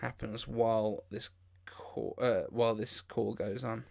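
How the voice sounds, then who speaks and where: A man's voice: lowish voice, little pitch movement, unhurried, vocal fry, a little mellow. A man hosting a casual livestream, reacting in an informal, incredulous way as he comments. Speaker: livestream host.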